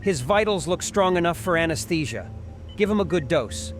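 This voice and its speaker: Male hospital doctor acted by a man, horror radio play: fairly high voice, clipped, authoritative, music bed behind. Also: animated, moderate pace, lightly acted. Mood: focused, commanding. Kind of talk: horror audio drama acting.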